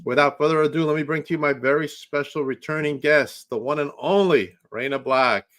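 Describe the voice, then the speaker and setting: A man's voice: fairly high pitch, brisk, fairly bright tone, slight background noise. A man with a New York accent hosting a podcast, upbeat and easygoing, laughing along with his guest. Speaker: podcast host. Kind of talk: casual podcast interview.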